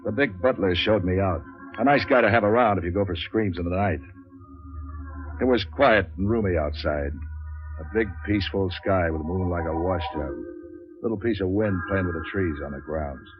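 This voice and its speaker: An American actor playing a wisecracking, unflappable private eye, deadpan and terse, in 1940s radio noir style. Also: male voice, low voice, normal speed, faint music behind, lightly acted. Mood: moody narration. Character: cynical, wisecracking hard-boiled male private investigator, unflappable. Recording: dull 1940s radio recording.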